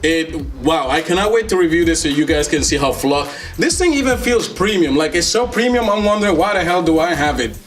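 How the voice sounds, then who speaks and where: A man with a slightly high voice hosts a casual tech unboxing over clearly audible music. He talks in an enthusiastic, jokey way, full of slang and playful asides to his viewers. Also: lively intonation, a little fast, hardly any pauses. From Netherlands. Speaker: tech reviewer, video host.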